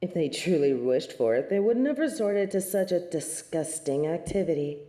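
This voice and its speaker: A woman's voice: on the low side, expressive, moderate pace, very noisy, natural voice. A woman playing a snobbish, merciless angel of judgement in an audio drama, speaking formally with imperious disdain. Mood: cold disdain. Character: haughty, merciless female angel of judgement, snobbish and self-righteous. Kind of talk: scripted audio drama acting. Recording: roomy, echoing sound.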